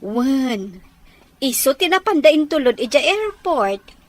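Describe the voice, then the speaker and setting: An actress with an Ilocano Filipino accent playing a friendly, helpful older sister-in-law in a radio drama. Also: female voice, sits high, sing-song, slow, exaggerated voice.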